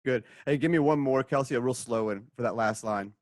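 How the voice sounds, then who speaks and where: A man's voice: medium pitch, brisk, darkish tone, dry recording, studio quality. A man directing and announcing a radio drama serial.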